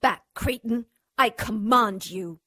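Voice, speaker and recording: A woman's voice: on the high side, slow, pushed and bright, breathy edge, no room sound, studio quality, full character voice. An actress playing a seductive, imperious demon queen in a radio drama, cruel and mocking, moving between honeyed coaxing and haughty command. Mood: commanding, menacing.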